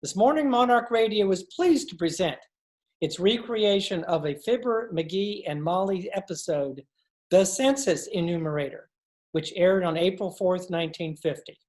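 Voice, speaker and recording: A woman's voice: on the low side, normal speed, a little mellow. A woman reading scripted narration as the announcer of an old-time radio show.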